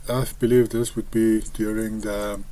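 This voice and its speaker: A man with an Afrikaans accent, a native Afrikaans speaker, reading scripture aloud and explaining it in a hesitant, halting way with frequent pauses. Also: lowish voice, flat delivery, warm tone, rough recording. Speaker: online Bible commentator.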